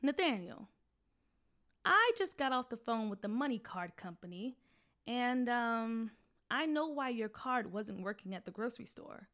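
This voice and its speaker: A stern, protective mother lays down the rules with firm, scolding words, as a woman reads her part. Her voice is slightly high and pointed, sounding both caring and strict as she delivers her lines. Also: lively intonation, unhurried, fairly bright tone. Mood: probing.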